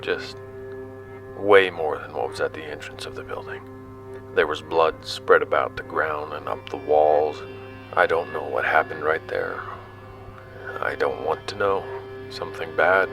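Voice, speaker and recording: Sci-fi audio drama narrator: male actor as self-deprecating explorer, very low register, conversational storytelling over soft music. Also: measured pace. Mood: hushed wonder. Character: young male explorer recounting his expedition, awed and casual. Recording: music under the voice.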